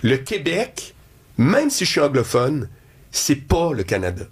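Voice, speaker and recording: A man with a Québécois accent hosting an interview, telling a story in a lively, conversational way. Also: medium pitch, measured pace, husky. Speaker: interview host. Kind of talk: casual interview chat.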